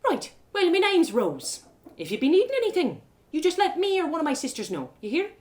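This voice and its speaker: A woman voicing a warm, kindly older barmaid in an audio story, folksy and affectionate. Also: very high voice, wildly swooping pitch, fairly quick, voice pushed up, heavily acted. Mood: warm, welcoming. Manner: folksy, affectionate, uses endearments.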